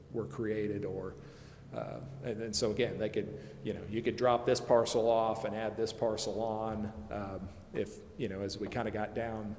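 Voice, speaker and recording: A man explaining rules at a public meeting, carefully qualifying points and working through hypothetical examples. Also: on the low side. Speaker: consultant advising a city committee. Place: city council chamber. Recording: echoey meeting chamber, faint background noise.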